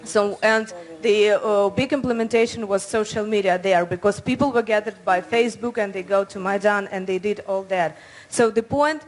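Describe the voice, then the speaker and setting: A woman from Ukraine, making an earnest, halting comment from the audience at a conference. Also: mid-range voice. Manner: earnest, halting, non-native phrasing. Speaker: audience member.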